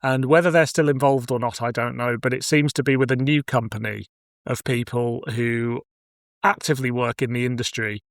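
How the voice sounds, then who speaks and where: Male podcast host, mid-pitched, conversational and considered, recorded dry. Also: fairly even intonation, moderate pace, no room sound. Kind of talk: casual discussion of cult TV and audio drama.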